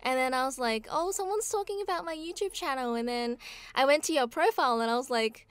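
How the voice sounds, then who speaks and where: A woman's voice: high-pitched, fairly quick, clear, resonant tone, dry recording. A young woman who grew up in Australia, a voice actor talking as a guest in a friendly, chatty way. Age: young adult. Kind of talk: casual interview chat.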